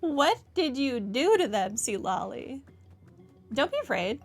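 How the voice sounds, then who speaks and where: A woman's voice: high voice, big pitch swings, a little slow, faint music behind. A woman giving casual playthrough commentary as a streamer, wryly talking back to the game. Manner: wry, talks back to the game.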